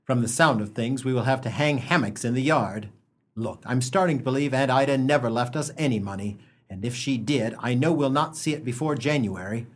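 A man playing a cautious, wryly exasperated husband in a humorous radio play, speaking in a measured, dry way. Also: mid-range voice, breathy edge, natural voice. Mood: wry exasperation. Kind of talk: humorous stage-style radio play acting.

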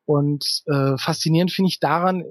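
A man's voice: fairly high pitch, fairly even intonation, normal speed, no room sound, studio quality. A man answering as an interview guest, a novelist who explains things at length in a thoughtful, hesitant, conversational way.

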